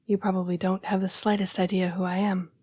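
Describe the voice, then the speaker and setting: Woman acting a hushed, breathy vampire lady. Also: mid-range voice, level tone, dry recording, clean studio sound, natural voice. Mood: apologetic, formal. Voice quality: breathy, hushed, often dropping to a whisper. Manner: formal, polite, measured phrasing. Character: young-seeming female vampire, formal, apologetic, protective, urgent. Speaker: vampire council's correspondence assistant. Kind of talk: intimate audio roleplay monologue.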